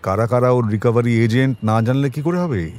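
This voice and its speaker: A man's voice: medium pitch, brisk, a little mellow, natural voice. A man playing a shrewd, commanding detective in an audio drama, speaking tersely with authority. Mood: calm, decisive. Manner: terse, authoritative, dryly probing.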